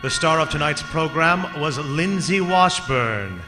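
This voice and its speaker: Man as solemn suspense narrator over loud music. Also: on the high side, lively intonation, unhurried. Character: ominous, solemn mysterious male suspense narrator. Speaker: announcer reading the credits. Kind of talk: old-time radio narration. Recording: long reverb, loud music, crowd noise.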